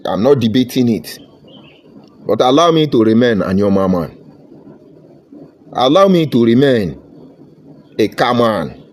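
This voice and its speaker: A man with a Nigerian accent delivers a heated political monologue in an average-pitched voice. He talks in a combative, fervent way and slips into Pidgin phrases. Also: very animated, unhurried, pauses often, darkish tone. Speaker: political commentator.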